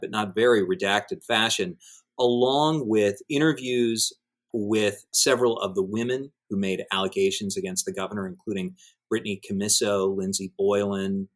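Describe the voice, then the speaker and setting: Low voiced guy, newspaper editor doing news commentary, wordy n measured, punches key words. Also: fairly bright tone. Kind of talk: news discussion, conversational analysis.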